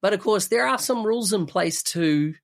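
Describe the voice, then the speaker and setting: A man's voice: sits high, lively intonation, normal speed. A man hosting a podcast interview, chatting casually and asking open questions. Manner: conversational, asks open questions. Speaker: podcast host.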